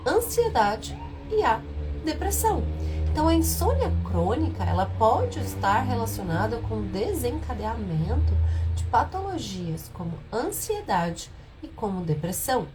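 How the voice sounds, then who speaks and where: A woman with a hoarse, nasal voice from a cold, hosting a live stream and explaining a health topic in a softer, lower voice than usual. She poses rhetorical questions to her viewers. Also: sing-song, a little slow, over music, low-quality recording.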